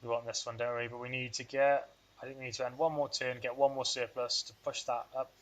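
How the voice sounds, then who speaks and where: Bright-voiced man thinking aloud through a game. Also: medium pitch, flat delivery, quick pace. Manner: rambling, thinks aloud, self-deprecating. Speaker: let's play host. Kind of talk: casual gameplay commentary.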